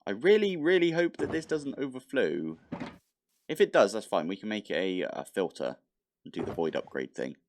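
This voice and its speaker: A man's voice: on the low side, very animated, moderate pace, a little mellow, a little hiss or hum. A man casually commentating his modded block-building game, thinking aloud with mild exasperation, his nose slightly blocked. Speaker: modded Minecraft let's play host.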